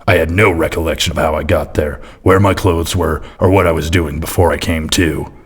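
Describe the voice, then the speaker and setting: An actor playing a boozy, crude, surly detective in a deadpan hardboiled noir parody, grumbling gruffly. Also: male voice, a bass voice, brisk. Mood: world-weary deadpan. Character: boozy, crude, surly pill-popping male private detective.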